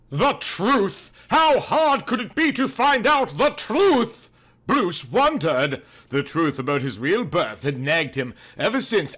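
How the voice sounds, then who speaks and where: A man's voice: a little high, sharp tone. A man reading a comic detective story as scripted audiobook narration, with a dramatic, mock-serious delivery.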